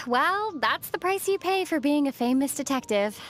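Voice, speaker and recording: An actress playing a calm, sensible, gently teasing young traveler heroine in a video game. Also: female voice, sits high, normal speed, faint music behind. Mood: skeptical. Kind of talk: scripted video game dialogue.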